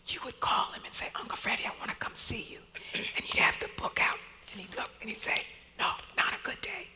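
A woman poet telling personal stories as a guest speaker, in a hushed, whispering delivery. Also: a little fast. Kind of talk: personal storytelling at a live talk.

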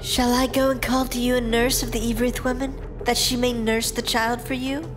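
A woman's voice: fairly high pitch, little pitch movement, moderate pace, music in the background, lightly acted. A woman playing a helpful young girl in a dramatized scripture reading, speaking politely and carefully. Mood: polite, offering.

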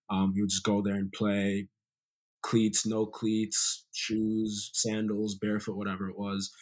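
A young man from Sierra Leone with an American accent, telling stories as a guest in a casual interview over a video call. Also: on the low side. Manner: relaxed storytelling, many fillers. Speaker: guest, former college soccer player. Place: bright room indoors, video call.

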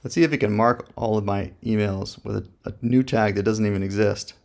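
Man, deepish voice, heavy vocal fry, slangy, joking, off-the-cuff software review. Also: a little fast. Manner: laid-back, slangy, joking. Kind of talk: casual screen-recorded review, thinking aloud.

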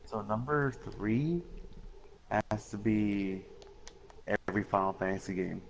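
A man in his mid-twenties talks casually and unhurriedly on a podcast. His voice is fairly low and gravelly, with faint ambient noise around it. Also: measured pace, highs cut off. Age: 20s. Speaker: podcast co-host. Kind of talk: casual chat.

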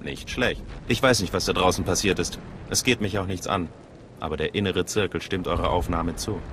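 A man's voice: sits low, fairly quick, noisy background, close to an everyday voice. A man playing a curt gatekeeper of a secret order in a video game, dry and reserved. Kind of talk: video game cutscene acting.

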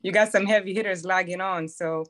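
A woman's voice: fairly low pitch, fairly even intonation, fairly bright tone. A woman from Chad, a Navy command master chief, telling her story on a phone video call, humble and a little nervous. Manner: humble, slightly nervous, halting.

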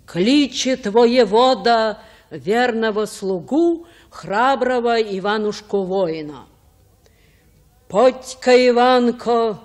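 Elderly grandmother, gentle, folksy, sing-song folk tale delivery, drawn-out pace, radio drama. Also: a little high. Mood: solemn storytelling, then commanding. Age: older. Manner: measured, chanting, folksy. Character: gentle old Russian grandmother telling folk tales. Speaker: storyteller.

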